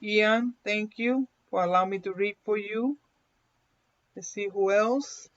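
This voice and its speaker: A woman's voice: mid-range voice, unhurried. A woman with a Puerto Rican accent, hosting a live card reading in a chatty way and often checking in with her viewers. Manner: chatty, keeps checking in with "okay?", laughs often. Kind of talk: casual livestream chat and reading. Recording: dull lo-fi stream audio.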